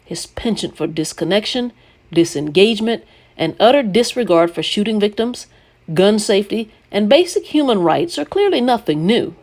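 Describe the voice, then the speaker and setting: A middle-aged woman from Georgia reads a scripted opinion editorial in an emphatic, deliberate, pointed manner. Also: mid-range voice, very animated, a little mellow. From Georgia, United States. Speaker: editorial writer.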